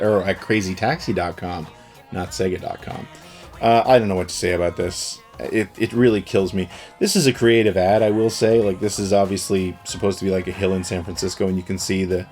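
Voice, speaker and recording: A man's voice: low-pitched, normal speed, soft background music. A middle-aged man leafing through an old gaming magazine, chatting loosely about it with plenty of ums and uhs. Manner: relaxed, rambling, with frequent fillers. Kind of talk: casual commentary.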